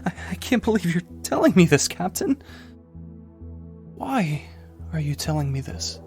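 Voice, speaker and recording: A man's voice: high voice, very animated, unhurried, a little mellow, soft background music, exaggerated voice. A man playing a young rookie cop with a sly double side in a noir audio drama. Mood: flustered. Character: young male rookie cop, seemingly eager, secretly a sly informant.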